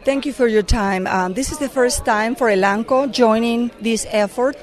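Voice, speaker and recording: Middle-aged woman from Mexico, Mexican accent, fairly high voice, earnest interviewee who pauses and restarts. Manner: earnest, with frequent fillers and restarts. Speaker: corporate affairs director. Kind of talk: conference interview answers.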